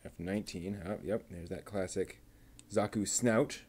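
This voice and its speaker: A man's voice: low-pitched, fairly even intonation, a little slow, a little mellow, breathy edge. A man building a model kit on a live stream, muttering to himself and chatting casually with viewers as he works.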